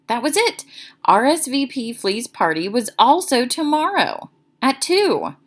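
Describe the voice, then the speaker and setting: A woman's voice: sits high, sing-song, measured pace. A woman reading a children's chapter book aloud as narrator, expressively.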